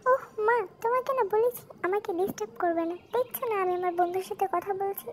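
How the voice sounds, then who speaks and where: A woman's voice: very high-pitched, fast, a little hiss or hum. A woman voicing a young village girl in a cartoon moral story. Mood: annoyed. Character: young village girls in a fairy tale, stepdaughter and sister.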